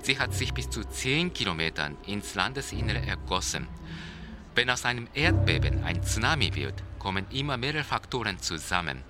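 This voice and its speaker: An actor playing a Japanese seismologist, explaining in a precise, didactic, formal way in a radio-play interview. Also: male voice, fairly low pitch, sing-song, normal speed, music in the background. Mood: precise, solemn. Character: male Japanese seismologist, precise, solemn expert being interviewed.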